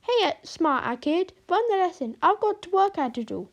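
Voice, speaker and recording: A woman putting on a fussy male maths teacher with a thick put-on Italian accent, rattling off numbers at speed. His voice rides much higher than the storyteller's own and comes out loud and bright. Every few words trail into a drawn-out "ah". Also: very animated, full character voice. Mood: bustling. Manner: quick, filled with drawn-out "ah"s.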